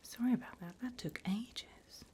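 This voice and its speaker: A woman voicing a politely bureaucratic, wickedly encouraging demon recruiter in a breathy, unhurried ASMR whisper. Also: on the high side, darkish tone. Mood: coaxing, inviting. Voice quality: breathy whisper, mostly unvoiced. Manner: unhurried, polite, dryly businesslike about torment.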